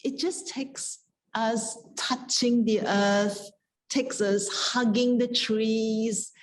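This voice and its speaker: A woman speaking as a scholar on a panel, sharing poetic reflections in a contemplative, measured and earnest manner. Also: a little high, fairly even intonation, slight background noise, poor audio.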